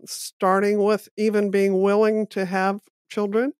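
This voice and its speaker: A woman's voice: mid-range voice, level tone, darkish tone, no echo, clean studio sound. A woman hosting a podcast, speaking thoughtfully and affirmingly as she reflects on a text. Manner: thoughtful, affirming, slow and measured. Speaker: podcast host. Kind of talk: podcast interview conversation.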